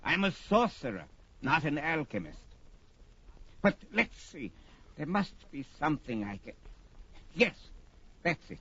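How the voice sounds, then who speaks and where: High-pitched, indignant old sorcerer. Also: male voice, very animated, measured pace, pauses often, pushed and bright, breathy edge, heavily acted. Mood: boastful, crafty. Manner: theatrical, emphatic. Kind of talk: 1970s audio drama record acting. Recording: dull vintage story record.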